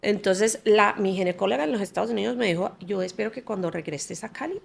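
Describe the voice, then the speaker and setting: A woman from the United States in her forties whose first language is English, telling her story as a podcast guest in an animated way, laughing often and restarting her phrases. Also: mid-range voice, fast talker, gravelly, husky. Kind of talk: candid conversational storytelling.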